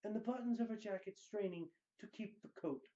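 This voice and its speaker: Male narrator for theatrical fiction readings, slightly high-pitched yet soft-toned, emphatic and deliberate, on a muffled recording. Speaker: reader of book excerpts. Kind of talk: dramatic scripted book reading.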